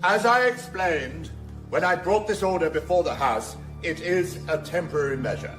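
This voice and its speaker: An evasive, formal politician in a radio play. His voice is high for a man and rings around an echoey chamber, with music underneath. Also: expressive, moderate pace, a bit bright. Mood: formal, defensive. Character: defensive government minister addressing parliament.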